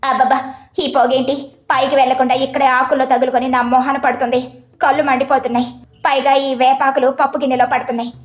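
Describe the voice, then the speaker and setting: A woman's voice: sits high, level tone, quick pace, echoey room, lightly acted. A woman voicing a proud, complaining village daughter-in-law in a moral story, full of exclamations. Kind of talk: moral story character acting.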